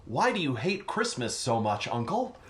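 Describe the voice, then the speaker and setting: A man's voice: medium pitch, very animated, moderate pace, fairly bright tone. A man voicing an effervescent young cat nephew with a merry British accent in a comic radio play. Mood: merry, pleading. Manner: bubbly, sing-song.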